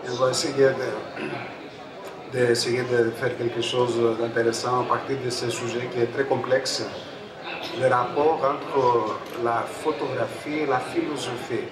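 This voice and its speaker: A man whose first language is Greek addresses an audience with a Greek accent. He speaks haltingly at a middle pitch, circling back over phrases. The sound is echoey and distant, with a busy fair crowd behind him. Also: flat delivery. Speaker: photographer and panel speaker. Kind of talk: public talk at a book fair, introducing a debate. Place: busy book fair stand.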